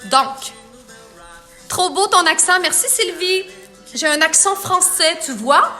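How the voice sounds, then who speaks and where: Bright, high-voiced Quebec woman makeup artist. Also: slow pace, faint music behind. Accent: Quebec French. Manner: chatty, playful, reads viewer comments aloud. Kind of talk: live makeup tutorial chatting with viewers.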